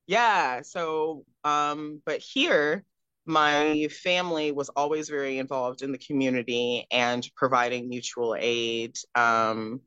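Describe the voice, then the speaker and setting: A young adult woman from Texas, a guest recounting her upbringing in a relaxed interview, reflective and given to small laughs. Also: very deep voice, slow pace, clear, resonant tone, dry recording. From Texas, USA. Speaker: guest, pro wrestler. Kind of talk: casual interview chat. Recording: dull lo-fi dry sound.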